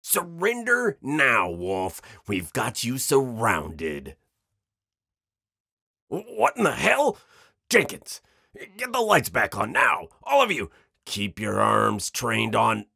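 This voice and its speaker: A man's voice: mid-range voice, wildly swooping pitch, slow, creaky, pushed and bright, breathy edge, no room sound, clean studio sound, exaggerated voice. A man playing an urgent police commander in an audio drama, barking orders at his squad. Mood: commanding. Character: urgent male police commander hunting a monster.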